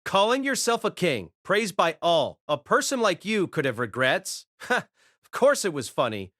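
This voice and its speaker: Arrogant, mocking high-voiced warrior king. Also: big pitch swings, a bit bright, no echo, clean studio sound, exaggerated voice. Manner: scornful, theatrical, drawn-out. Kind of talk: audio drama acting.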